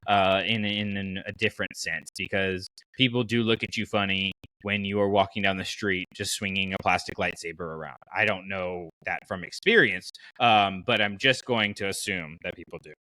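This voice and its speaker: A man's voice: low-pitched, steady tone, moderate pace, few pauses, sharp tone, dry recording, poor audio. A man hosting a podcast in a casual, enthusiastic and conversational way. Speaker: podcast host.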